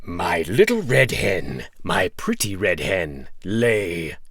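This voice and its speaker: A man voicing a huge, greedy, menacing giant from a storybook, with a rough, gruff, drawn-out delivery. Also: big pitch swings, a bit bright, no room sound, clean studio sound, full character voice. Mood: commanding, gloating. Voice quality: gravelly, rough. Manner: slow, drawn-out, booming delivery. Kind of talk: storybook character voice.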